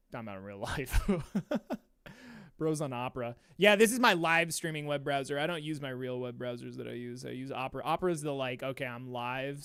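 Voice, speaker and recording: Sniffly, animated young man streaming. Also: medium pitch, creaky, clear, resonant tone, dry recording, clean studio sound. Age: 20s. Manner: animated, laughs often, slangy. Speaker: streamer hosting a watch party. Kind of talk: casual livestream chat.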